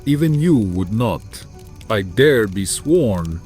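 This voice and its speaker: Gothic audiobook villain: proud elderly foreign Count, low voice, highly varied intonation, courtly and sinister. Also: male voice, very animated, takes it slowly, darkish tone, faint music behind. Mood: scornful. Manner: formal, archaic, dramatic phrasing. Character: courtly, menacing old foreign Count, proud vampire nobleman. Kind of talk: dramatized gothic audiobook acting.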